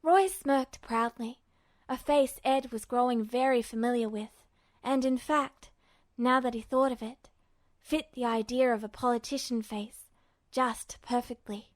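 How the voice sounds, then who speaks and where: A woman's voice: fairly high pitch, fairly even intonation, unhurried, no echo, clean studio sound. A woman reading scripted fiction aloud as the narrator of a story. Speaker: podfic narrator.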